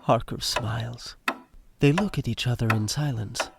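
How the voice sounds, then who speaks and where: A man narrating a scripted audio drama in short, measured sentences. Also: medium pitch, gravelly, noisy background. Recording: music behind narration.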